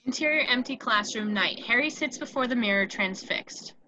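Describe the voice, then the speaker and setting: Bright, higher-pitched woman narrator. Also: normal speed, dry recording, rough recording. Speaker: narrator reading stage directions. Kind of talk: scripted narration of a screenplay.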